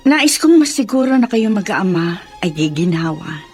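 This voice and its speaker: Woman playing proud, wounded rich Filipina madam for radio drama; middle-pitched, emphatic, music underneath. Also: sing-song, moderate pace, a little mellow, natural voice. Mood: remorseful, grateful. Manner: emphatic, melodramatic delivery. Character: wealthy matronly woman, wronged, fierce yet deeply remorseful.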